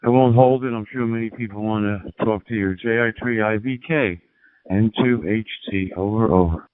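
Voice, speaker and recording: A man making a friendly, halting amateur radio contact over a handheld two-way radio. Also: on the low side, no room sound. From United States. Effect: two-way digital radio. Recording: tinny narrowband handheld radio transmission.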